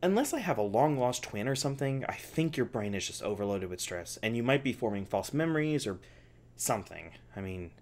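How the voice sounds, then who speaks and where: A man playing an anxious, defiant scientist held captive in an ASMR audio drama, halting and sweary. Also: mid-range voice, big pitch swings, a little mellow. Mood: uneasy, explaining.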